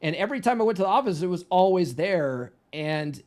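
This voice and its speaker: A man in his mid-forties with a voice a little higher than most men's. He tells stories in an easygoing way, laughs often, and stumbles over repeated words as he gets going. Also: expressive, brisk, a little mellow. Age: 40s. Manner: chatty storyteller, laughs easily, repeats words when starting. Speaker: guest, men's coach. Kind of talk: casual conversation.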